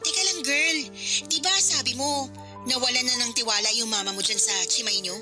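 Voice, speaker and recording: A woman's voice: sits high, a little fast, lightly acted. A woman playing a flippant, scheming teenage girl in a Filipino radio drama, breezy, slangy and dismissive, with a Filipino accent. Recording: music playing under the voice.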